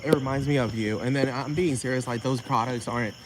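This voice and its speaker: A man's voice: medium pitch, steady tone, fast. A man rants in a rambling, profane vlog and keeps asking whether the listener understands him. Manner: repetitive, keeps asking "you know what I'm talking about". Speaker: vlogger. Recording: noisy with crickets behind.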